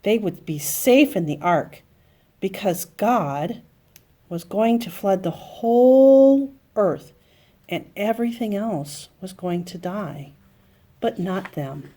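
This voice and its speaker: A woman telling a Bible story to young children, explaining in simple phrases with dramatic pauses and emphasis. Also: medium pitch, expressive, dark tone.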